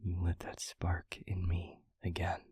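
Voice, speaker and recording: A man playing a gentle, weary demon in an intimate audio roleplay, with a soft, halting, breathy delivery that often nears a whisper. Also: sits low, monotone, no room sound, clean studio sound, exaggerated voice. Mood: tender, earnest. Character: gentle, weary male demon, tender and guarded.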